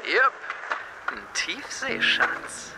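High, animated cocky skater teen. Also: male voice, drawn-out words, loud music behind the voice, exaggerated voice, rough recording. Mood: cocky, evasive. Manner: brash youth slang. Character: cocky, evasive teenage skater boy. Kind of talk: naturalistic audio drama phone dialogue.

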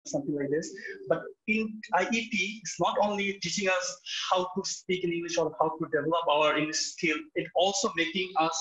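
A young man from Bangladesh with a Bangladeshi accent, speaking earnestly and haltingly with many restarts on a video call from a small room, sharing his student experience. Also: on the high side, bright, forceful tone. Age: young adult. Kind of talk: webinar talk. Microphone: laptop webcam on a video call. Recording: echoey, dull video call audio.

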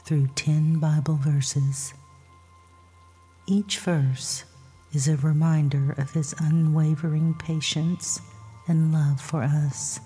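Slow, breathy woman guiding sleep meditation. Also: deep voice. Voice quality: soft, breathy, husky. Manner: soothing, measured, hushed. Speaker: meditation guide. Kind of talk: scripted guided sleep meditation narration with Bible readings. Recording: long reverb, soft music behind.

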